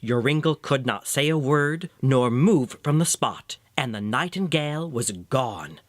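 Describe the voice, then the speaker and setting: A man narrating a scripted fairy tale as an expressive, measured storyteller. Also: mid-range voice, dry recording.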